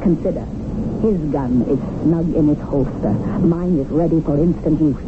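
A woman's voice: sits low, moderate pace, natural voice. An actress playing a scornful young woman revolutionary and kidnapper in a 1970s radio drama, speaking in formal, commanding, oratorical phrases. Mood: stern, commanding. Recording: noisy, tinny phone-like old radio broadcast.

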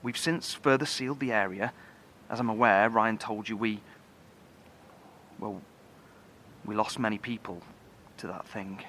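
An actor playing a grave, cautious lead engineer of a survivor bunker in a horror audio drama, explaining carefully in a halting, solemn way. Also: male voice, fairly low pitch, steady tone, fairly bright tone, a little hiss or hum. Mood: solemn, grieving.

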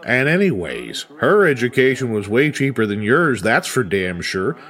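A middle-aged dad in an audio drama, folksy and fond of ribbing. His pitch swings freely as he rambles, teasing and doting in equal measure. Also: sing-song, normal speed, a bit bright, husky, full character voice. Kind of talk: naturalistic audio drama dialogue.

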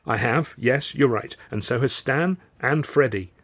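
A man's voice: mid-range voice, a little slow, a bit bright. Man as an eager, flustered stage comedian. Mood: explaining. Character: eager, flustered male music-hall comedian, pleading and persuasive. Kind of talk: audiobook character dialogue.

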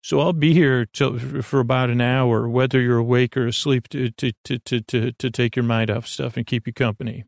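Male sleep-podcast host, heavy vocal fry, dry close sound, wandering and halting delivery that loops back on itself. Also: medium pitch, steady tone, no room sound. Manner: meandering, halting, repeats and trails off. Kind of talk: rambling bedtime monologue.

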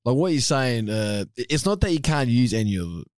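A man co-hosting a podcast, telling excited stories with plenty of slang and swearing. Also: medium pitch, a little fast, dry recording, studio quality. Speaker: podcast co-host. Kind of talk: casual podcast chat.

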